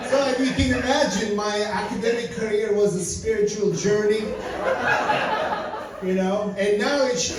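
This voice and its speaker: A man from Turkey doing stand-up with a fairly high voice. His delivery is dry and wry. He sounds far from the mic in a very echoey lounge. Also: background noise. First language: Turkish. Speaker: stand-up comedian. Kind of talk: stand-up set. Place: comedy lounge stage.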